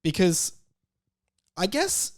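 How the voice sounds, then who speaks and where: A man in his late twenties with an Australian accent, hosting a casual solo podcast in halting phrases, stretching out key words for emphasis. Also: high-pitched, big pitch swings, no room sound, clean studio sound. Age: late 20s. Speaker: podcast host.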